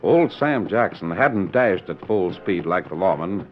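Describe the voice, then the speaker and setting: A man's voice: low voice, lively intonation, a little slow, soft background music. A man narrating a 1940s radio western in a formal, dramatic storytelling manner. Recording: muffled 1940s radio recording.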